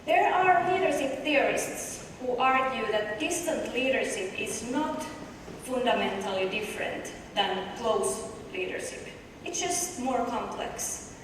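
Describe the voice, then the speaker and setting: A woman with a Finnish accent presents a research paper, her voice a touch higher than most and pitched to carry. She is heard from well back in a big hall with long reverb and a steady hiss behind her. She explains step by step and poses questions to the audience. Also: unhurried. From Finland. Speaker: conference presenter. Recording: echoey distant hall recording with noise.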